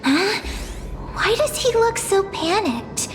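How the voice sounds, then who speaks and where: A woman's voice: very high voice, big pitch swings, slow, long reverb tail, full character voice. A woman voicing a small, cheerful, childlike fairy creature in a video game, curious and exclamatory. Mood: curious, chirpy. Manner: exclamatory, chirpy, inquisitive. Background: music.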